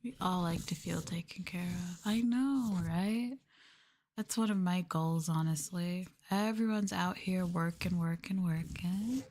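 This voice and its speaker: A woman doing a live ASMR stream, talking softly and breathily to viewers in a gentle, subdued way, often dropping into a whisper close to the mic. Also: lowish voice, measured pace. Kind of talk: ASMR soft talking, casual chat with viewers. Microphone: close ASMR microphone.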